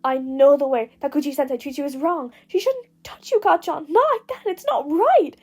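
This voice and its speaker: A woman's voice: sits high, moderate pace, mellow, close to an everyday voice. A woman voicing an earnest, slightly huffy teenage boy in an audio story. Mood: earnest concern.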